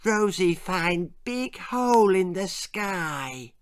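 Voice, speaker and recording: A man's voice: high-pitched, expressive, slow pace, pitched above the performer's own voice. A man voicing a small, childlike girl in a spooky children's story. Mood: innocent. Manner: childlike, simple exclamations. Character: curious little girl, a toddler turned small child. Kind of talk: children's horror-comedy audiobook storytelling.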